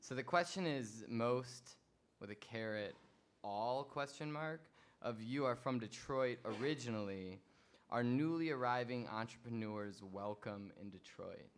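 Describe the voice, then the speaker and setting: A man's voice: medium pitch, unhurried. A man moderating a panel discussion, reading audience questions in a casual, tentative way.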